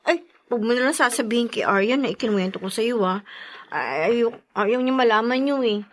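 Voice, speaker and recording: A woman playing a gossipy, sympathetic friend in a Tagalog radio drama, animated and confiding. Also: fairly high pitch, a little fast, lightly acted.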